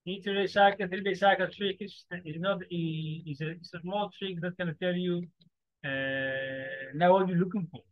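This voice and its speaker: Man teaching, halting. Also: sits high, fairly even intonation, no room sound. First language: Somali. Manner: halting, repeats phrases, broken English. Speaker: forex trading teacher. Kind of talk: informal teaching monologue. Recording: dry, slightly dull lo-fi recording.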